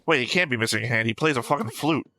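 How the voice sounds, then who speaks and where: Bright-voiced man, blunt reaction commentary. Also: medium pitch, animated, racing. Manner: blunt, opinionated. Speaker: reaction video host. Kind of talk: casual reaction commentary with swearing.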